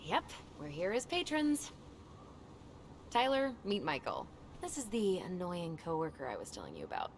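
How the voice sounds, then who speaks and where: An actress playing a brisk, friendly young woman in a video game, casual and practical with a teasing side. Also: lowish voice, huge pitch swings, a little hiss or hum. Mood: playful. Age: young adult. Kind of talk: naturalistic video game acting.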